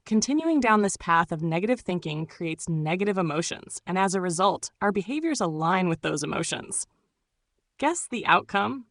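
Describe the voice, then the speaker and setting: A woman delivering a scripted explainer in a measured, instructive way, often posing rhetorical questions as a mindfulness host. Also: on the low side, expressive, a bit bright, dry recording.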